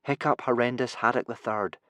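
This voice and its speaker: A man's voice: mid-range voice, steady tone, brisk, a bit bright, dry recording, close to an everyday voice. Man voicing a plucky, anxious Viking boy. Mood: nervous, wry.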